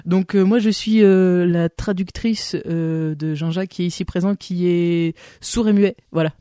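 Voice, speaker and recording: A woman's voice: fairly low pitch, fairly even intonation, a little slow, mellow, clean tone, no echo, clean studio sound, natural voice. A woman playing a rambling, overeager miming coach. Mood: eager. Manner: rambling, filler-heavy, lofty advice. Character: chatty, overeager female miming coach and interpreter.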